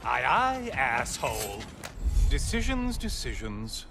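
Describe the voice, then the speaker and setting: A man's voice: mid-range voice, huge pitch swings, slow, gravelly, loud music behind the voice, heavily acted. An actor playing a snide, mocking male god in a video game, sarcastic and cocky. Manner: sarcastic, sneering.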